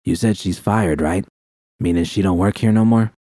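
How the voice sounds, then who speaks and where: A man's voice: low-pitched, normal speed, dark tone, dry recording, studio quality. A man voicing a tough, protective young woman mercenary who talks bluntly and swears, in an audiobook reading of a fan story. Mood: firm. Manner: blunt, profane.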